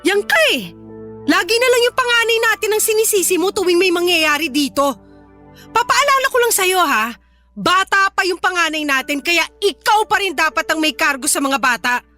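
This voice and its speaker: A woman's voice: extremely high pitch, expressive, normal speed, sharp tone, faint music behind, exaggerated voice. A woman playing an exasperated, sarcastic young working mother scolding her partner in a Filipino radio drama. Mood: accusing, scolding.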